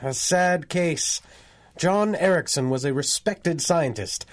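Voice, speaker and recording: A man's voice: on the high side, slow. A man playing a genial, urbane judge with hearty old-chap manners in a 1930s-style radio drama. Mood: reflective.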